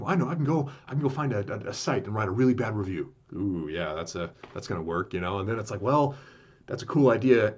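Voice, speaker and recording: Deep-voiced male podcaster, quick and rambling, full of restarts. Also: breathy edge. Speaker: podcast host. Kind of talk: casual rambling monologue.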